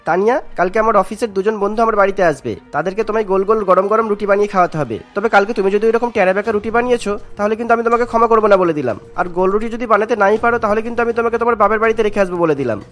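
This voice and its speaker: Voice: mid-range voice, rapid-fire, few pauses, a bit bright, natural voice. A performer voicing a stern, bossy young husband in a cartoon story. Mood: stern threatening. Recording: music behind the voice.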